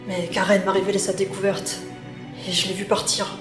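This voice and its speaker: A woman's voice: mid-range voice, level tone, normal speed, lightly acted. A woman playing an anxious, guilt-ridden reluctant spy and sister in an audio drama, hesitant and stammering, with urgency. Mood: guilty, urgent. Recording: echoey room, loud music underneath.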